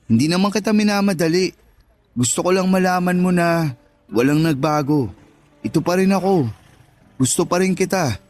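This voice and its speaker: An actor playing a persistent, romantic suitor in a Tagalog radio drama, smooth-talking and earnest. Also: male voice, high-pitched, moderate pace, long pauses, a little mellow, natural voice. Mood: earnest wooing.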